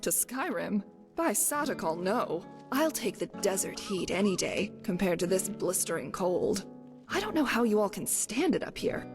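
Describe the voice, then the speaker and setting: A woman acting a wry desert warrior, lively-voiced, music behind. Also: mid-range voice, normal speed. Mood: wry, grumbling. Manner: wry, casual, brush-off banter. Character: guarded, breezy desert-born warrior woman grumbling about cold. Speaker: video game character. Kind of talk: video game voice acting. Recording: long reverb, music behind. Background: game music.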